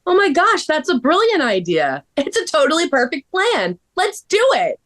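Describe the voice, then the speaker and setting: Woman playing a bubbly, animated young woman. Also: mid-range voice, moderate pace, lightly acted. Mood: excited. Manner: gushing.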